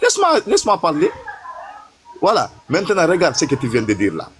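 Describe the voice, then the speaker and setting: A man in a heated religious debate, interrupting and repeating himself in a halting, argumentative way. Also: fairly high pitch, huge pitch swings, a bit bright, slight background noise.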